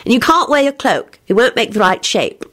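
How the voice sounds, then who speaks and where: Sweet young sweetheart played by a female narrator, bashful, playfully firm, raised swooping pitch, storybook romance. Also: sing-song, fairly quick, a bit bright.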